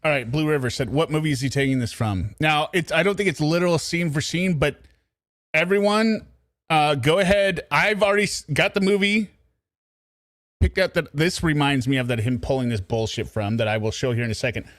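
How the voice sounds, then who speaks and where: A man's voice: fairly high pitch, fairly quick, a bit bright, dry recording, clean studio sound. A man hosting live true-crime commentary for a chat audience, speaking in a blunt, mocking way. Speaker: host of commentary show.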